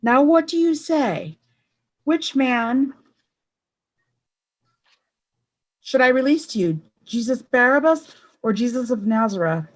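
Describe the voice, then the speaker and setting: A woman voicing a troubled Roman governor who shouts at a crowd. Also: high voice, expressive, measured pace, pitched above the performer's own voice, rough recording. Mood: agitated. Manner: shouting, strained and pleading.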